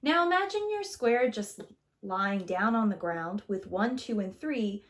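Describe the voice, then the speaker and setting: A woman reading a children's picture book aloud as a preschool teacher, with an expressive, theatrical, sing-song delivery. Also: medium pitch, unhurried.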